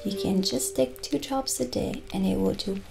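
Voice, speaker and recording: A middle-aged woman playing a gentle, mystical witch who sells potions, soft-spoken and soothing in an improvised ASMR roleplay. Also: medium pitch, moderate pace. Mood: friendly, unhurried. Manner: soft-spoken, soothing, lapsing into breathy near-whispers. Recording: soft background music. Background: soft music.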